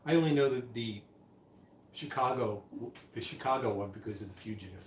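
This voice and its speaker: A man's voice: medium pitch, brisk, a little hiss or hum. A man hosting a live stream, chatting in a rambling, casual way about drinks. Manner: rambling, chatty, many restarts. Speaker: livestream host mixing drinks. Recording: echoey room, voice from far off.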